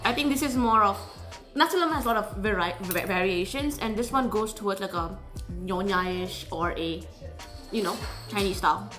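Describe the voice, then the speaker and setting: A woman's voice: mid-range voice, moderate pace, a bit bright, soft background music. A woman with a Malaysian accent chatting casually as a guest food taster. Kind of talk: casual chat.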